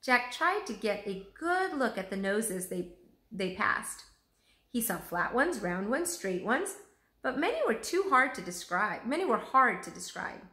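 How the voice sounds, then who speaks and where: A woman reading a children's storybook aloud with expressive narration. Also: fairly high pitch, unhurried. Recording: roomy, echoing room sound.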